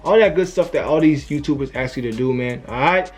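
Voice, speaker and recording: A man's voice: medium pitch, a little fast, darkish tone, soft background music. A young man giving an earnest, casual motivational talk to camera as a YouTuber. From family from Haiti, raised in the US. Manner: earnest, conversational, frequent "you know what I'm saying".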